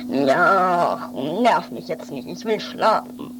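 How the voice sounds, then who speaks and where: A woman's voice: on the low side, expressive, takes it slowly, over music, rough recording. A woman playing a weary, bossy but protective guardian in an improvised radio play, grumpy and dismissive. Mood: tired, annoyed.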